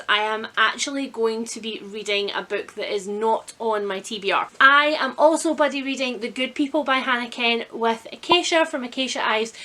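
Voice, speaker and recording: A woman vlogging at home in a casual, chatty, expressive and self-deprecating way about her reading. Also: high-pitched. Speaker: vlog host. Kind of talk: casual vlog chat about a reading marathon. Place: indoors at home.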